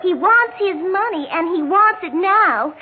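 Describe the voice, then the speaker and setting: An actress playing a fretful, affectionate, childlike daughter in a 1960s radio drama, speaking in a prim, melodramatic way. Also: female voice, very high voice, animated, unhurried. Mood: exasperated. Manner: melodramatic, prim, old-fashioned turns of phrase. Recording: muffled, noisy 1960s radio recording.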